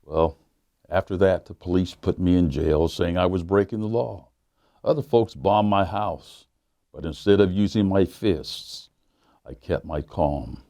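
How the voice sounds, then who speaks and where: A pastor with a very low, mellow male voice reads a children's book aloud. His storytelling is measured, and he slows down to lean on the key lines. The sound is dry and close, with no room around it. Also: no room sound.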